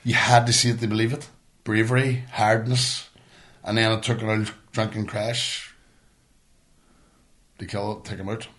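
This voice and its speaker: A man's voice: lowish voice, little pitch movement, moderate pace, pauses often, a bit bright. A middle-aged man from Northern Ireland with a Northern Irish accent, telling stories in casual, sweary chat as a podcast host. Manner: blunt, sweary.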